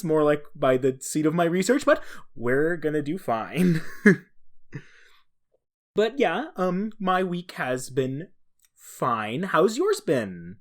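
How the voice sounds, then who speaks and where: A man co-hosting a podcast on his own, explaining a topic casually with rambling, self-deprecating asides. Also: mid-range voice. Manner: chuckles at own remarks. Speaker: podcast co-host.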